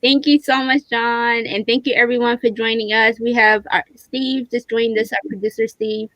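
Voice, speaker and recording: A woman's voice: a little high, steady tone, moderate pace, a bit bright, no echo, rough recording. Woman, livestream host, casual chat, enthusiastic, appreciative, dry recording.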